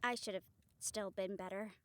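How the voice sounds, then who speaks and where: A woman playing a weary, apologetic female vampire hunter, speaking softly and hesitantly to a close friend in an audio roleplay. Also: mid-range voice, steady tone, moderate pace, lightly acted. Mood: remorseful. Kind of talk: intimate audio roleplay to the listener.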